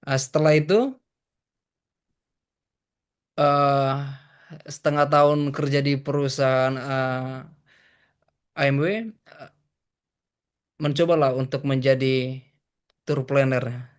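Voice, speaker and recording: Male podcast guest, twenties. Medium pitch, little melodic movement, casual and slightly hesitant. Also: level tone, unhurried, pauses often, smooth. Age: 20s. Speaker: podcast guest, tour guide. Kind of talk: casual interview answers.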